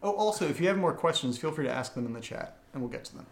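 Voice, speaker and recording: A man's voice: fairly high pitch, lively intonation, racing. A man hosting a live podcast Q&A, chatting casually with the others. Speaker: podcast host, audio drama creator. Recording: roomy echo.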